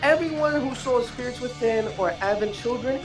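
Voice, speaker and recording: A woman's voice: a little high, normal speed. A woman chatting casually and emphatically as a panelist on a gaming podcast, recorded off mic in an echoey sound. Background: music.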